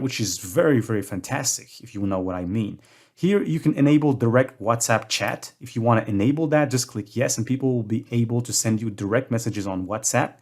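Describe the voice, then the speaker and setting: A young man from Morocco hosting a screen-recorded tutorial, talking in a casual way with self-deprecating jokes. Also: medium pitch. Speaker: tutorial host.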